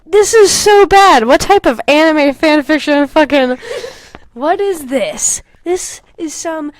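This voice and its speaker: A young woman co-hosting a casual podcast, animated and sweary, with self-mocking asides. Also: high voice, slow, no echo. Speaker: podcast co-host. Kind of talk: casual podcast chat, reading old fan fiction aloud with mocking commentary.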